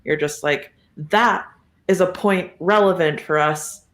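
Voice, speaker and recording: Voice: mid-range voice, slow, a bit bright. A co-host chatting casually in a musing, lightly giggly way, recorded in an echoey room with some noise. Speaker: guest co-host. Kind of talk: casual chat.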